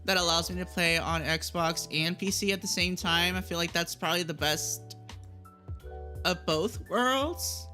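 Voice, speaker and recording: A woman's voice: lowish voice, measured pace, pushed and bright, faint music behind. A woman chatting casually as she hosts a gaming livestream, giggly and easily sidetracked. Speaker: streamer hosting a gaming livestream.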